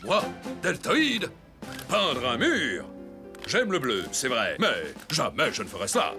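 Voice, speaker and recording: A man's voice: medium pitch, wildly swooping pitch, slow, sharp tone, heavily acted. A man voicing a big, strong, eager and boastful toy in a children's cartoon, theatrical in delivery, with music behind. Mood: indignant.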